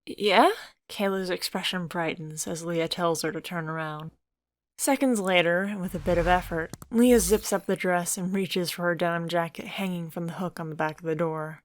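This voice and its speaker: Low-voiced woman narrator, steady story reading, dry close mic. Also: moderate pace, dry recording. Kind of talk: reading a comic-dub story aloud.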